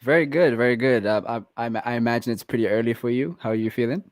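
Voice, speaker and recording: A man's voice: medium pitch, quick pace, no room sound, clean studio sound. A young South African man with a South African accent, a guest artist talking casually in an interview. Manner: relaxed, many fillers and restarts.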